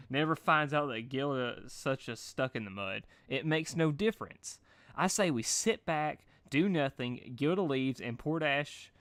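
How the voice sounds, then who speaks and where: A man's voice: medium pitch, a bit bright, no room sound, natural voice. A man voicing a cynical, sardonic female pony, a party pony's darker alter ego.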